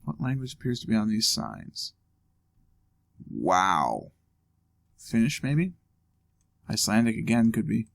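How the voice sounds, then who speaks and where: Man, gravelly voice, lively pitch, casual game commentary, thinking aloud. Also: measured pace, long pauses. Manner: thinking aloud, musing and joking. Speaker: let's play host.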